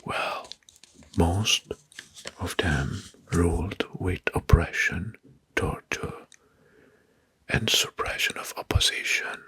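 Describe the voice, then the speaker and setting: Man whispering slow, breathy ASMR narration. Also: low-pitched. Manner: deliberate, repeats names and phrases softly. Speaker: host of an ASMR history channel.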